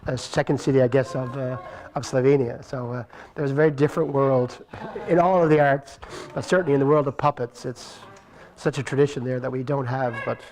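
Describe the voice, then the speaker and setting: A man's voice: mid-range voice, steady tone, fairly quick, hardly any pauses, darkish tone, rough recording. A man speaking informally as a performer leading a Q&A, hesitant, with stammering restarts. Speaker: puppet show performer leading the Q&A. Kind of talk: informal introductions and Q&A talk. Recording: live event recording with faint noise.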